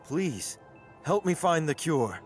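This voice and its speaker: Desperate, emotive lovelorn game hero. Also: male voice, on the high side, very animated, takes it slowly, faint music behind. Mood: sorrowful, earnest. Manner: urgent, pleading, emotive. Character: desperate, earnest man seeking a cure for his beloved. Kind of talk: dramatic video game acting.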